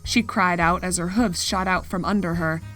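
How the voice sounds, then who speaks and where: A woman's voice: on the low side, fairly even intonation, normal speed, fairly bright tone. Woman narrating a story over music. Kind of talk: scripted fiction narration, storytelling.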